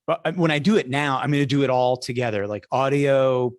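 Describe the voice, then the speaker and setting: Male podcast host, mid-pitched and conversational, a practised speaker, recorded dry with little room sound. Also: fairly even intonation, fairly quick, no echo.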